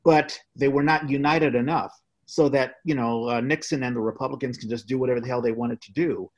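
Man, mid-pitched voice, podcast co-host, opinionated, halting delivery, repeated phrases, casual discussion.

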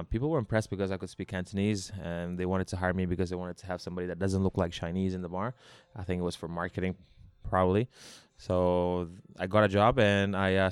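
A man's voice: deep voice, clear, resonant tone, no room sound. A man from Hong Kong talking casually and telling anecdotes as a bar founder being interviewed.

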